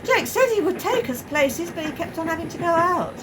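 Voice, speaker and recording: A woman's voice: very animated, fairly quick. A woman playing a frightened, defensive working-class train passenger in a radio play, speaking bluntly and colloquially. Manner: colloquial, non-standard grammar, blunt. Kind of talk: amateur radio play acting. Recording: noisy, train carriage rumble behind.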